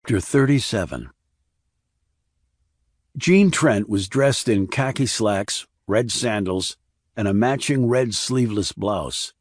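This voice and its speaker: A man narrates a thriller audiobook in a fairly low voice. The recording is dry, with very little room sound, and the delivery is scripted storytelling. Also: slow, no room sound.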